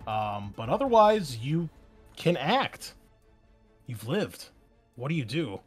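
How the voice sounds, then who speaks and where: A man's voice: mid-range voice, big pitch swings, takes it slowly, breathy edge, faint music behind. Man running a tabletop game. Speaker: game master.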